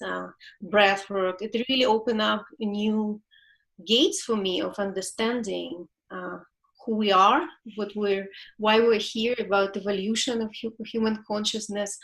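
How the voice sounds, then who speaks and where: A woman's voice: mid-range voice, gravelly, fairly bright tone, low-quality recording. A woman in her forties from Ukraine with a Ukrainian accent, a relationship coach telling her story in an interview, laughing often and searching for words. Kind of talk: reflective interview talk.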